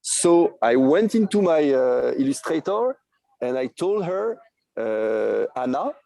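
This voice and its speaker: A man in his thirties with a strong French accent and French as his first language, a podcast guest telling his story eagerly with many halting fillers. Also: mid-range voice, big pitch swings, vocal fry, warm tone, poor audio. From France. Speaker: guest, NFT project creator. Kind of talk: podcast interview.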